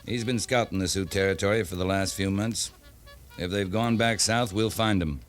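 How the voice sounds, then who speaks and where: An actor playing a tough, steady cavalry captain in a 1950s radio western, terse and matter-of-fact. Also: male voice, low voice, moderate pace, faint music behind, lightly acted. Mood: firm, matter-of-fact.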